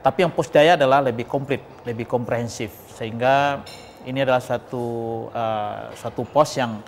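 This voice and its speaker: An earnest man answers as an interview guest. His voice is of middling pitch and he explains at length, point by point, in a hall with long reverb and faint noise. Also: moderate pace, creaky. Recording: echoing hall, noisy background.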